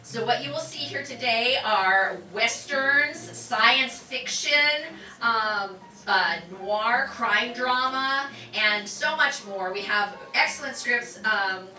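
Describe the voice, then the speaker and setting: A woman's voice: fairly high pitch, slow pace, pushed and bright, far from the mic. Chatty woman instructor in an echoey room. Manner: chatty and upbeat, with many fillers. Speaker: class instructor welcoming an audience. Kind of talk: live stage welcome and announcements. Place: community center performance room.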